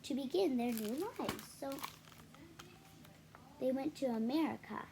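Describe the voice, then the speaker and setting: High-voiced woman reading to children. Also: unhurried, warm tone, a little hiss or hum. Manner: explanatory, checking in with young listeners. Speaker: read-aloud storyteller for children. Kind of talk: reading a children's picture book aloud with casual asides.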